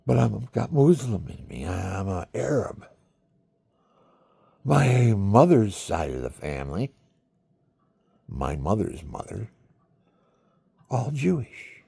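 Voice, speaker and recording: Rambling older man, gravelly, slow, somewhat low voice, talks to the viewers. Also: very animated, mellow. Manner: chatty, talks to the viewers, acts out quoted voices. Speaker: storyteller. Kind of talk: rambling personal monologue.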